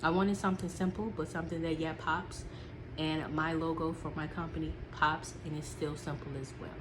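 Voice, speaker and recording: A woman's voice: deep voice, flat delivery, normal speed, background noise. A woman vlogger with a stuffy, sniffly nose, chatting casually from her notes with lots of ums and repeats. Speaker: small business vlogger.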